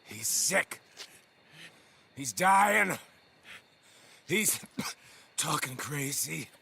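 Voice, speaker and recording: An actor playing a vicious, gloating American outlaw traitor in a video game, his voice strained and gravelly, drawing out his taunts. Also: on the high side, lively intonation, a little hiss or hum, exaggerated voice. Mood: wheedling. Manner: drawn-out, taunting delivery. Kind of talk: dramatic video game cutscene acting. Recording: loud dramatic music underneath.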